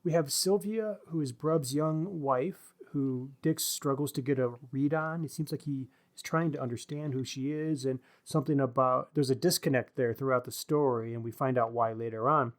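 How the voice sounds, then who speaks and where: A man hosting a casual book discussion podcast, talking in a halting way as he searches for words. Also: mid-range voice. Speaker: podcast host.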